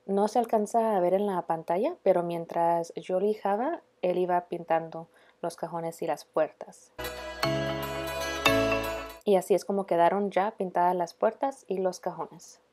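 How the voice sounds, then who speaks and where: A woman's voice: fairly low pitch, steady tone, unhurried, music in the background. A woman in her thirties casually narrating a home DIY vlog, dropping in the odd English word. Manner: casual, mixes in English words.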